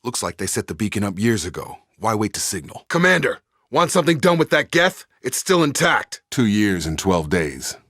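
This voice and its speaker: A man's voice: on the low side, sing-song, moderate pace, few pauses, a bit bright, dry recording, studio quality. A man playing a loyal, guarded young soldier in a sci-fi video game, speaking in an earnest, controlled, matter-of-fact way. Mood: measured, serious, then urgent.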